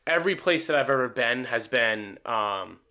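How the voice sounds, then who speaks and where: A man's voice: mid-range voice, expressive, normal speed, bright, forceful tone. A man chatting casually on a podcast, wordy and prone to repeating himself for emphasis. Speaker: podcast participant.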